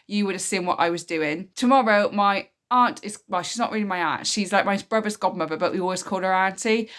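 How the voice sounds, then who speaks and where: A woman's voice: medium pitch, fairly bright tone. A woman, chatting casually in a weekly vlog, a bit nasal and bunged up from a cold. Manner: chatty, rambling, self-explaining. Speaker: vlogger, single mum.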